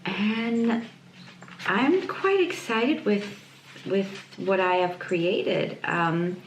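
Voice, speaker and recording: A woman illustrator chatting casually on her vlog, hesitant, often pausing and repeating words as she thinks. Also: medium pitch. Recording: echoey room, clear background noise.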